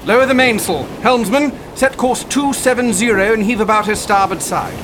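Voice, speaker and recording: An actor playing a resolute sea captain in a radio drama, giving terse orders. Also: male voice, high voice, normal speed, lightly acted. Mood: firm. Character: commanding, resolute sea captain of a sailing ship. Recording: clear sea storm and crew noise behind.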